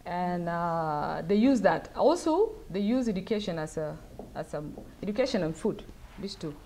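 Low-pitched woman speaking at a lectern. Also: very animated, mellow, slight background noise. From South Sudan. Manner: thoughtful, halting, many fillers. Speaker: guest speaker. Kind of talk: lecture Q&A answers. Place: wood-paneled event room, at a lectern. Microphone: lectern mic.

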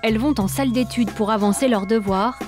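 A woman reading scripted voice-over narration for a documentary, in a calm, measured storytelling manner. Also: fairly high pitch. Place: studio voice-over. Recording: faint background music under studio voice-over.

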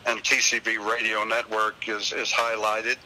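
Bright-voiced older man on a hissy call. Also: lowish voice, completely flat delivery, normal speed, rough recording. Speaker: phone-in guest, singer and website owner. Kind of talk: casual anecdotal chat. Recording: hissy radio call-in with clear noise.

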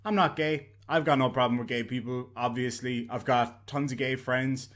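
A man's voice: mid-range voice, steady tone, moderate pace, fairly bright tone. A man in his thirties with an Irish accent, talking bluntly and profanely to a webcam in a room at home. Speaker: YouTuber. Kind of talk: casual vlog rant.

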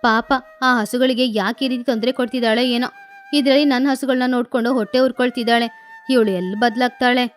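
A woman voicing a caring, hardworking mother sparrow who speaks calmly and gives instructions. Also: fairly high pitch, fast talker, faint music behind, natural voice. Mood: calm motherly.

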